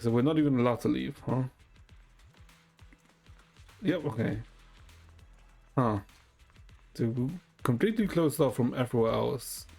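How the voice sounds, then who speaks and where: Male game streamer, mid-range voice, casual and musing, reading story text over soft game music. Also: medium pitch, measured pace, creaky, long pauses. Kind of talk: casual gameplay commentary, reading story dialogue aloud. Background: video game music.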